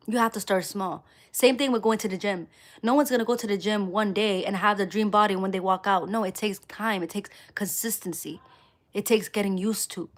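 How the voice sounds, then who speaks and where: Female live streamer, medium-pitched, casual motivational delivery, emphatic with repeated phrases. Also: fairly bright tone. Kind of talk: casual motivational livestream talk to viewers.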